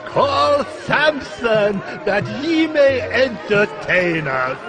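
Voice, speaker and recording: An actor playing a gloating, jeering Philistine lord, proclaiming in drawn-out shouts in a scripture audio drama, over music and crowd. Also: male voice, sits high, sing-song, exaggerated voice. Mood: gloating revelry.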